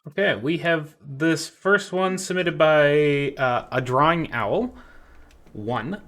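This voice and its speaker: A man with a somewhat high-pitched voice hosts a casual drawing critique stream over a voice call. He jokes around with his co-hosts and laughs easily between points. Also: slow pace. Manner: laughs often, relaxed joking. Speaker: stream host.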